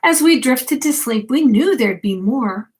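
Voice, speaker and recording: Older woman, grandmotherly, higher-pitched, very slow, expressive rhyming storybook reading, addressed to children. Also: a little hiss or hum. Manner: unhurried, addressing young listeners directly. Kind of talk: expressive read-aloud of a rhyming picture book.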